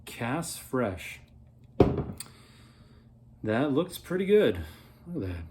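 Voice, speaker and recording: A man in his thirties reviewing a product in a casual, playful way, rambling as he thinks aloud, with a slightly husky voice. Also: medium pitch, big pitch swings, very slow, noisy background. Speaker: beer reviewer, vlog host.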